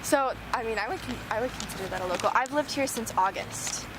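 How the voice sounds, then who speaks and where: Higher-voiced young woman interviewer. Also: fast talker. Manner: hesitant, many fillers and restarts. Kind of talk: casual street interview. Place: outdoors on a busy city street. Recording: street traffic noise behind.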